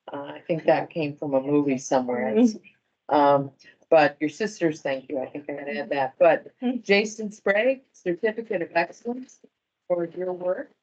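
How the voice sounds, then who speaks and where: Low female voice, an organization leader giving informal thanks at a staff ceremony, halting delivery, dull recording. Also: a little mellow. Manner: halting, appreciative, addressing a group. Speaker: medical center director presenting awards. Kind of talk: informal award presentation speech.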